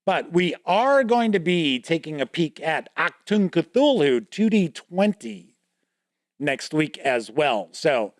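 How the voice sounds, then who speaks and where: Slow-talking male host, dry close sound. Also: on the high side, very animated, dry recording. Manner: chatty, reads entries aloud and comments on them; laughs off his own mispronunciations. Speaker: host of a tabletop gaming news show. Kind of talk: casual product walkthrough.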